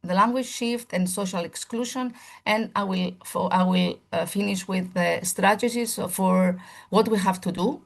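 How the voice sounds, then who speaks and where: Woman with a creaky voice presenting a seminar. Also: mid-range voice, moderate pace. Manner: earnest and searching for words, with frequent restarts; formal lecture phrasing with emphatic lists. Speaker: primary school teacher presenting a seminar.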